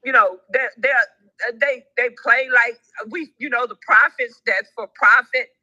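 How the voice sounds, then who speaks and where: A woman in her sixties, calling into a live show, talks in a lively, outspoken, folksy way. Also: a little high, a little slow, no room sound. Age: 60s. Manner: animated, outspoken, folksy church talk. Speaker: caller on a live audio show. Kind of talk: casual chat. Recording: dull, lo-fi app audio, dry.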